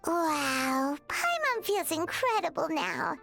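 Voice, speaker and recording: A woman voicing a tiny, excitable female companion who speaks of herself in the third person, in a game. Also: very high voice, slow pace, gravelly, exaggerated voice. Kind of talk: game voice acting. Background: soft game music.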